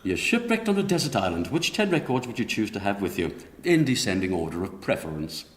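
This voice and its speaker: A stern, officious examiner in a radio play. His low voice rings in an echoing room as he delivers questions with clipped, deadpan formality. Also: brisk. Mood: brisk, stern. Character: brusque, officious male examiner firing quiz questions. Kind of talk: radio drama acting. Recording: roomy, echoing radio drama sound.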